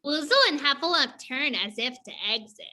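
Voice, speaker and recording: A woman's voice: high voice, animated, brisk, bright, forceful tone. A woman reading stage directions as scripted narration for a play reading.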